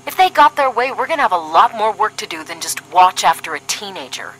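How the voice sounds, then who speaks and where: A woman acts out a dry, sarcastic young female agent in an audio drama. Her voice is medium in pitch and clearly bright, serious and a little weary, with faint noise behind it. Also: expressive, fairly quick, close to an everyday voice, rough recording. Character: sardonic, duty-minded young female paramilitary agent, weary sister. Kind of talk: scripted audio drama dialogue.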